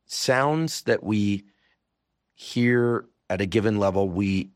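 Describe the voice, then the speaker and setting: A man from the San Francisco Bay Area hosting a casual science conversation, telling stories in a drawn-out, thoughtful way with restarts. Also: on the low side, dry recording, clean studio sound.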